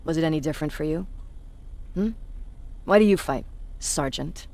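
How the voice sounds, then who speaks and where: An actress playing a cynical, blunt resistance commander in a video game, with a measured, sardonic delivery. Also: female voice, sits low, darkish tone. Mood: cynical, probing. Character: hard, cynical female resistance military commander, self-declared bully.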